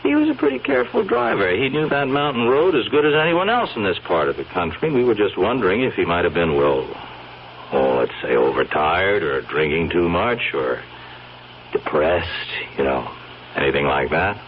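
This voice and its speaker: An actor with an American accent playing a folksy, slyly probing police sergeant in a radio drama, asking questions in a hesitant, seemingly casual way. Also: male voice, deep voice, very animated, normal speed. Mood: casually probing. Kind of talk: old-time radio drama acting. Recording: dull, noisy old radio recording.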